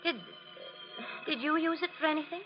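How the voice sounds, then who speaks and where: An actress playing a young, affectionate, innocently curious wife in an old-time radio suspense drama, expressive and solicitous. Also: female voice, sits high, brisk, loud music behind the voice, natural voice. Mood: puzzled. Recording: muffled, noisy vintage radio recording.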